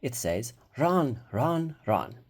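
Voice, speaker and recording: A storyteller plays a cheerful, literal-minded woman teacher in a children's storybook. She is folksy and earnest, easily puzzled, and speaks at an unhurried pace with animated pitch. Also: slightly rough, exaggerated voice. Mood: folksy, businesslike.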